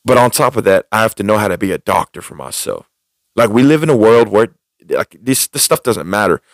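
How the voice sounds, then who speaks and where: A man's voice: on the low side, very animated, brisk, no echo. A man hosting a podcast, telling personal stories and venting casually, often repeating and restating his phrases for emphasis. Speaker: podcast host.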